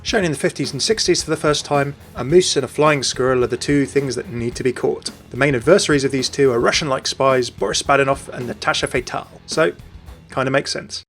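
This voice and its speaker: A man's voice: mid-range voice, fairly quick, a bit bright. A man hosting a video game lore video in scripted narration with chatty asides. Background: faint music.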